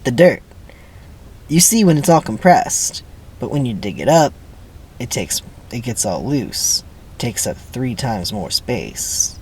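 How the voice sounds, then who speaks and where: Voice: mid-range voice, very animated, takes it slowly, a little mellow, slight background noise. A person voicing a cocky fifteen-year-old boy camper in a dramatic reading, slangy and know-it-all.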